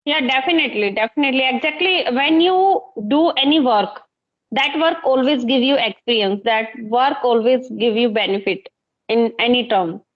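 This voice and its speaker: A woman from northern India with an Indian accent and Hindi as her first language, chatting as a teacher and host, often pausing on fillers. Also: fairly high pitch, lo-fi sound. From Haryana, northern India. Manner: hesitant, many uh fillers. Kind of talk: casual conversation practice.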